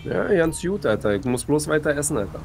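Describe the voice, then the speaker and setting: A man's voice: on the high side, lively intonation, a little fast, music in the background. A man playing a roleplay game, bantering in a brash, jokey, slangy way over voice chat. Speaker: fellow player in a roleplay game. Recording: clearly noisy game voice chat.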